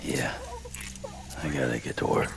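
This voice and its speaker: An actor playing a gruff, weary courier in a video game, terse and drawling with a gravelly voice. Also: male voice, unhurried, close to an everyday voice. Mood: weary, flat. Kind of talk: video game cutscene acting.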